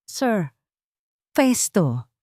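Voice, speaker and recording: A woman's voice: medium pitch, very animated, darkish tone, no echo, studio quality, pitched above the performer's own voice, heavily acted. Weak, submissive young servant woman, acted by a narrator. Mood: faint, pleading. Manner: weak, apologetic, halting. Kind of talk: audiobook drama dialogue.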